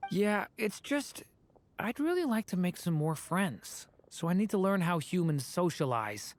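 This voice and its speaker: A woman voicing a gentle, earnest young boy who used to be a dragon, soft-spoken and a little hesitant. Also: lowish voice, very animated, a little slow, slight background noise. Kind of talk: game voice acting.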